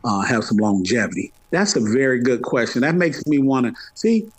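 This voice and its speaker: A man's voice: mid-range voice, animated, moderate pace, breathy edge, no room sound. A middle-aged man chatting casually in an interview, repeating phrases for emphasis. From Atlanta, United States. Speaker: podcast guest, hip hop podcaster.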